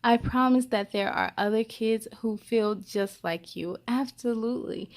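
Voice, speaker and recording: A woman's voice: on the high side, measured pace. A woman hosting a children's story time, reading aloud expressively with chatty asides and speaking encouragingly straight to young listeners.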